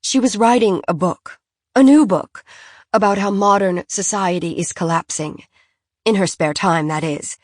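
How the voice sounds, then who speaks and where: A woman's voice: medium pitch, a little slow, no room sound, studio quality, lightly acted. A woman reading an audiobook, voicing a genteel, fussy mother who talks in a prim, gushing and insistent way. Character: genteel fussy francophile mother, high-strung.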